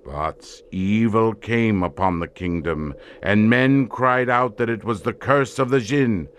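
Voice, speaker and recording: A man's voice: fairly low pitch, unhurried, slight background noise, natural voice. An actor playing a grave desert tribesman who solemnly tells of an ancient curse in archaic, portentous language for an audio drama. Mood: grave, foreboding. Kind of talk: theatrical audio drama storytelling.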